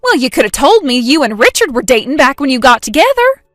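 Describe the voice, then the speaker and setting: A shrill, whiny young woman in a storybook chat drama, high-pitched and always on the edge of panic, pleading and flaring up by turns, as a woman puts her on. The voice is close and dry, with faint music behind. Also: very animated, quick pace, a bit bright, dry recording. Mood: outraged. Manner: whiny, pleading, easily outraged. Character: brash panicky young woman caught cheating.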